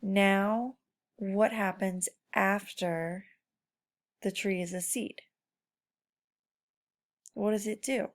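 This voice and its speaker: A woman's voice: medium pitch, fairly even intonation, drawn-out words, long pauses. A woman teacher giving an informal read-aloud lesson to children, prompting them with guiding questions. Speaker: schoolteacher.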